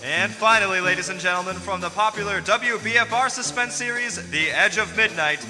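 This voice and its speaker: Man playing a high-voiced showy vintage radio announcer. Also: a little fast, lightly acted. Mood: grand, welcoming. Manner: formal, grandly projected showman. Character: showy male 1940s radio announcer introducing the cast. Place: theater stage before live audience. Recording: echoey theater hall, music behind.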